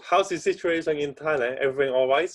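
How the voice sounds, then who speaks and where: A man hosting an online session, introducing a guest speaker with polite, slightly halting remarks over a video call. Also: on the high side. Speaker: event host.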